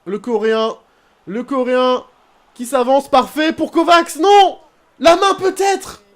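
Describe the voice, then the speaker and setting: A young man commenting casually on his football video game career, rambling, with bursts of excitement. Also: extremely high pitch, measured pace. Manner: chatty, rambling, bursts into excited shouting at goals. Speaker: gaming YouTuber.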